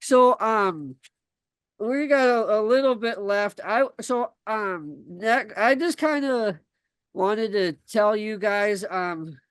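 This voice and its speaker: A young man from South Dakota hosts a podcast and shares his own story. His voice sits fairly high and sounds smooth, and he often pauses, fills gaps and restarts his sentences. The recording is close and dry. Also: no room sound. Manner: halting, many fillers and restarts. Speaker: podcast host. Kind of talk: casual chat, then reading a personal story.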